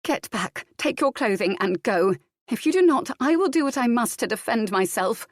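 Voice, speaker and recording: A woman voicing a proud young noblewoman who gives cold, sharp commands. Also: on the high side, lively intonation, moderate pace, no room sound, clean studio sound, pitched above the performer's own voice, lightly acted. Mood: defiant.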